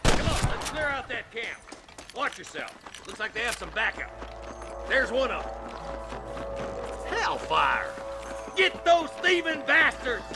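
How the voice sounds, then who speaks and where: An old American frontier lawman with a strikingly high-pitched, strained voice. He is gruff and folksy, commanding his posse like a man used to being obeyed. Loud music and gunfire sit behind him, as in a Western video game. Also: big pitch swings, a little slow, long pauses, exaggerated voice. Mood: shouting, urgent. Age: older. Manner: commanding, folksy, barking orders.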